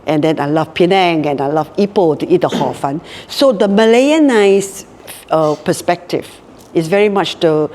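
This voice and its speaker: A woman's voice: lowish voice, lively intonation, normal speed, darkish tone, in a large hall, slight background noise. A Singaporean woman in her later years, speaking reflectively in an interview and often repeating words as she thinks. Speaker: guest, hotel group co-founder. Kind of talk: casual interview talk.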